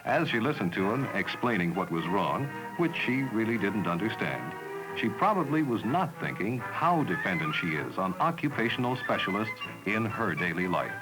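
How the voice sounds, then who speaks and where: A man's voice: lowish voice, sing-song, normal speed. A man narrating a 1960s educational film from a script in a folksy, storytelling way, on a scratchy old film soundtrack. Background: music.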